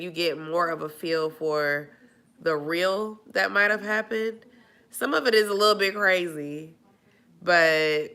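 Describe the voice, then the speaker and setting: A woman's voice: on the low side, pushed and bright. A woman chatting casually on a live stream, nasal and stuffy from a cold, rambling with lots of fillers. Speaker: vlogger answering live chat.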